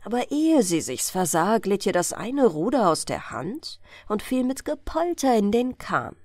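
A woman reads a bedtime fairy tale as an audiobook narrator. Her delivery is measured and unhurried, with very lively intonation. The sound is dry and close. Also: medium pitch, dry recording.

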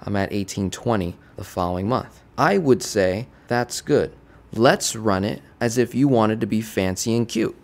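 A young man giving a relaxed whiteboard finance lesson from a home studio on a boom-arm mic, often checking in with "right?". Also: normal speed. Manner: casual, conversational, keeps asking "right?". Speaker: finance educator.

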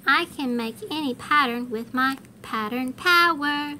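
Woman as little girl hero, cheerful, excited, high bright voice, storybook. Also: measured pace, a little hiss or hum, full character voice. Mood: eager. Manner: excited, exclaiming. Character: cheerful little girl superhero, eager and helpful.

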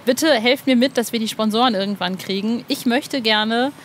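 Higher-voiced woman interviewing casually. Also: moderate pace. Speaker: podcast host, interviewer. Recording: faint background noise.

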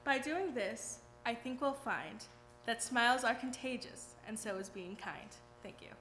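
A woman's voice: fairly high pitch, unhurried, a little hiss or hum. A young woman reading a rhyming poem aloud at a church lectern during a service. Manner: steady rhymed recitation. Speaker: youth reader. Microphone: lectern mic. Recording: echoey church room.

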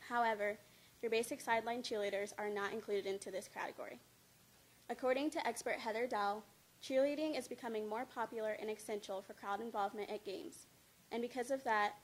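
A young woman with a fairly high voice delivers a prepared informative speech as a student. She reads it aloud from notes into a microphone on a stand. Noticeable background noise sits behind her words. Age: young adult. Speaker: student speaker. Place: indoor studio with green screen. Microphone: handheld-type mic on a stand at a lectern. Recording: clear background noise, room ambience.

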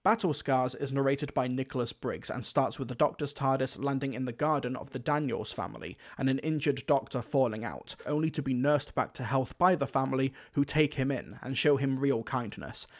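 A man's voice: medium pitch, a little fast, hardly any pauses. A man delivering scripted review narration as a reviewer.

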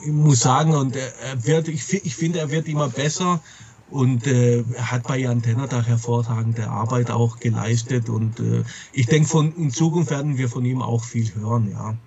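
A man's voice: medium pitch, fairly even intonation, moderate pace, darkish tone, husky, muffled recording. A man being interviewed as a publisher, chatting casually in a rambling way and often repeating himself. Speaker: comics and audio drama publisher, interview guest.

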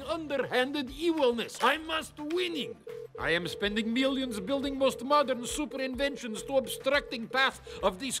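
A man's voice: very high voice, bright, forceful tone, full character voice. A man voicing a pompous scheming villain tycoon in a cartoon, exclaiming in broken English.

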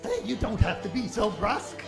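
A man plays an innocent old angel in a radio drama. He explains things gently and literally, with music behind him. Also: high voice, wildly swooping pitch, moderate pace, gravelly, exaggerated voice. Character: innocent, mild, very old male apprentice angel. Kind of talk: radio play acting.

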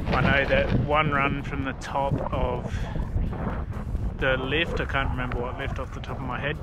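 A laid-back man, chatting casually to a handheld camera while snowboarding outdoors on a snowy slope. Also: medium pitch. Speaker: vlogger. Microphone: action camera held at arm's length. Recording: loud wind noise on the mic.

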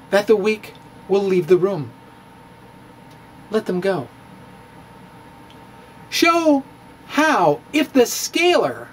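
A middle-aged man giving a dramatic, comedic reading of a physics blog, halting and theatrical with emphatic pauses. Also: high-pitched, a little hiss or hum, low-quality recording. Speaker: blogger, stand-up physicist. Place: indoor room with bookshelves. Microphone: handheld-style microphone on stand, close.

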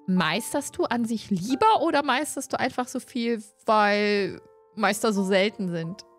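A woman in her fifties hosting a casual interview on a close microphone, pausing mid-sentence as she phrases her thoughts. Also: medium pitch, lively intonation. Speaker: stream host, interviewer. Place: indoors at home, video call. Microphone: close desk microphone, headphones. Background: background music.

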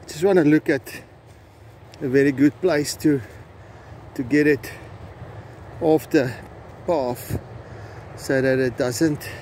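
Slow-talking South African man outdoors. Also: medium pitch, a little mellow. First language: Afrikaans. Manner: slow, halting, with many fillers and restarts. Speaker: vlogger host. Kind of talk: casual outdoor vlog narration. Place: outdoors on a coastal trail. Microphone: phone camera held by hand. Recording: faint outdoor noise.

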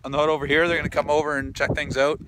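A man's voice: fairly high pitch, very fast, noisy background. Chatty man vlogging on a frozen lake. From Canada. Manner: chatty, easygoing, relaxed. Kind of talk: casual vlog tour. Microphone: phone held at arm's length.

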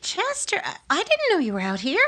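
Woman acting an excitable, high-voiced young sweetheart. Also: brisk, heavy background noise, full character voice. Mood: flustered, eager. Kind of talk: old-time radio sitcom acting.

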